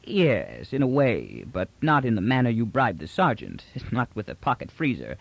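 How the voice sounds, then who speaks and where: A man doing a dry, gentle old patrician. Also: medium pitch, huge pitch swings, normal speed, creaky, no room sound, exaggerated voice. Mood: wry. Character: calm, dry-witted older male patrician.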